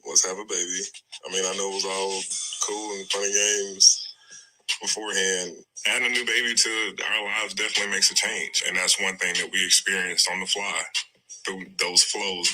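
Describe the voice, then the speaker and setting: A man's voice: low-pitched, sharp tone, poor audio. A middle-aged man on a reality show, speaking candidly and reflectively in conversation. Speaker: reality show cast member, husband. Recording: music under TV show clip. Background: background music.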